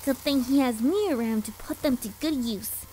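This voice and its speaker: A woman's voice: high voice, normal speed, warm tone, slight background noise, natural voice, low-quality recording. A woman voicing a sassy, scheming young filly pony villain in a cartoon-style fan audio drama. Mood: scheming, smug. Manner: sassy, defensive.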